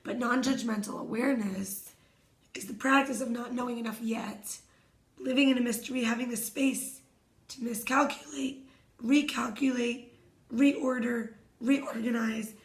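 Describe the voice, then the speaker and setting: A hoarse woman with a somewhat high voice talks in a gentle, meditative way, often sinking to a whisper. She sounds like a wellness coach sharing reflective self-help prompts. The recording carries a noticeable room echo. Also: steady tone, unhurried. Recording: echoey room.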